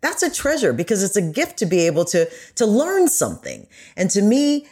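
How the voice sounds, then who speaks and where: A woman's voice: mid-range voice, wildly swooping pitch, moderate pace, darkish tone, husky. A woman speaking as a podcast guest, earnest and enthusiastic in a reflective discussion.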